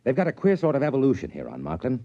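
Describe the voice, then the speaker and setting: A man's voice: mid-range voice, huge pitch swings, racing, no echo. An American actor playing a shrewd, confident, wry trading-post man in a 1950s radio drama. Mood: smug, tender. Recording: dull vintage radio recording.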